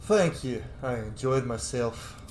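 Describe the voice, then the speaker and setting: A man giving casual gameplay commentary, joking, reacting out loud and swearing freely. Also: mid-range voice, expressive, slow pace. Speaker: gamer, streamer. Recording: roomy echo, faint game noise.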